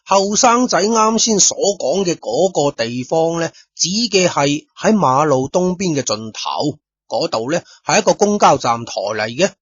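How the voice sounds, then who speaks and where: A man's voice: a little high, animated, unhurried, slightly rough, no room sound, dull audio. A man with a Cantonese accent narrating a story in colloquial radio-drama style, speaking directly to his listeners. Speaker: storyteller, host.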